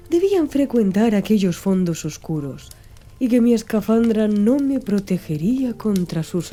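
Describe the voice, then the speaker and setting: Female audiobook narrator for classic adventure fiction; very dark, soft-edged tone, mid pitch, music underneath. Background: background music.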